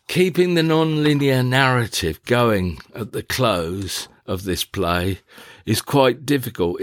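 A man narrating a scripted, reflective personal diary in a measured, deliberate, formal manner. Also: mid-range voice, dry recording, studio quality. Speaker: diarist, playwright.

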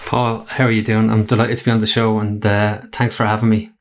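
Male podcast guest, Irish accent, fairly low pitch, conversational interview answers. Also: little pitch movement, a little fast. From Ireland. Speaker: podcast guest, company chief executive.

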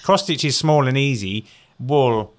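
A man co-hosts a relaxed chat about crafting. He is jokey and playful and laughs often. His voice sits in an ordinary middle range. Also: mid-range voice, a little slow. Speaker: co-host. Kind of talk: casual chat.

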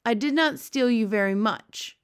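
A woman voicing a gentle, childlike male giant from a storybook, earnest and excitable, with quaint muddled grammar. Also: on the high side, moderate pace, clean tone, pitched above the performer's own voice, lightly acted. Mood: proud, gentle.

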